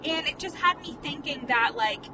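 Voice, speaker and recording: A woman's voice: high voice, expressive, moderate pace. A woman in her late twenties, a life coach who stutters, chatting candidly in a casual vlog monologue while she drives, full of "like" fillers and the odd swear. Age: late 20s. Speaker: life coach and podcast host. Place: in a car. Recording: distant phone mic in a noisy car. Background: car and road noise.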